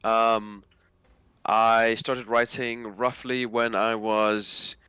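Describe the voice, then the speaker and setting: A young man in his twenties from Nigeria chats casually in an interview. His voice sits fairly low and is smooth and resonant, captured close and dry. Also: little pitch movement, drawn-out words, bright, forceful tone, dry recording. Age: 20s. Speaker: interview guest, novelist.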